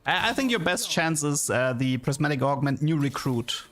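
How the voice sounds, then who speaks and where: Higher-pitched German-accented male streamer. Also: animated, normal speed, a bit bright. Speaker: game streamer. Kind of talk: casual livestream chat with viewers. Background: music.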